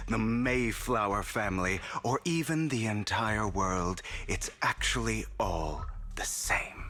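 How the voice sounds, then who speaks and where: A composed, regretful young man who speaks slowly, with a lively, shifting pitch. His delivery is measured and quietly tired but firm. Soft game music sits behind him. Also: exaggerated voice. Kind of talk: video game cutscene voice acting.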